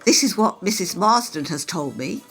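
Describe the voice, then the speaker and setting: An actress playing a humourless, by-the-book care worker in an amateur radio play, with stiff, over-formal wording. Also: female voice, fairly low pitch, animated, normal speed, a bit bright, husky, soft background music, exaggerated voice. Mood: defiant.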